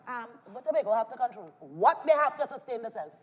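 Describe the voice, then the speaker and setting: A woman's voice: fairly high pitch, sing-song, fast talker, husky. A woman acting an indignant, scornful Trinidadian character in a live stage drama, confronting with rhetorical questions in a Trinidadian accent.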